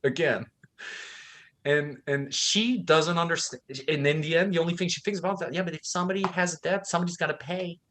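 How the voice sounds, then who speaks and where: A man's voice: fairly high pitch, a little fast, fairly bright tone. A man who grew up in Thailand, co-hosting a book discussion, talking with conviction and acting out the people he quotes. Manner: impassioned, acts out quoted speakers. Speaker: co-host.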